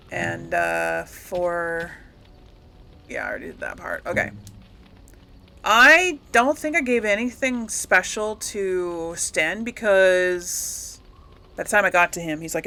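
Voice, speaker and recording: A woman's voice: on the low side, a little slow, creaky, long pauses, bright, forceful tone. A woman giving casual, rambling commentary as she plays a game, often correcting herself and lightly amused. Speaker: gaming host.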